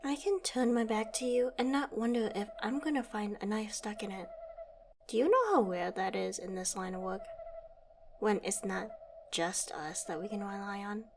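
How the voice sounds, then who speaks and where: A woman's voice: mid-range voice, moderate pace, background noise, natural voice. A woman playing a suave, witty secret agent in an audio roleplay, wry and polite, talking as if to a trusted partner. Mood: warm, reflective. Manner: wry, polite, teasing tag questions. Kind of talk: ASMR audio roleplay, scripted.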